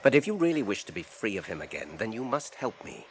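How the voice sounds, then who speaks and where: An actor playing an earnest, firm, burdened hobbit in a radio drama, speaking in a measured, commanding way. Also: male voice, fairly low pitch, very animated, rough recording. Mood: stern, calm. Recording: old radio drama, faint hiss.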